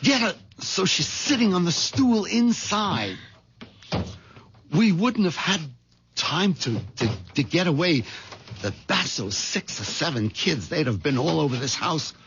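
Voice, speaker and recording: A man's voice: a little high, animated, a little slow, a bit bright, slight background noise. A man acting a nervous, hesitant American husband caught up in a murder plot, in a 1970s radio drama. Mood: tense, shaken. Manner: hesitant, stammering under pressure. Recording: dull vintage radio recording with music behind.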